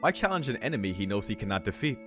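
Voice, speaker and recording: A man reading a visual novel's story aloud for a let's play, breaking into casual, excitable reactions with some swearing. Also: on the low side, rapid-fire. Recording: music behind the voice.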